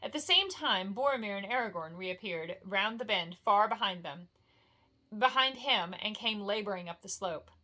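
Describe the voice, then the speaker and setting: Female storyteller: bright voice, expressive fantasy narration, homely and informal, like a bedtime read-aloud. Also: mid-range voice, normal speed. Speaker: storyteller reading a novel aloud.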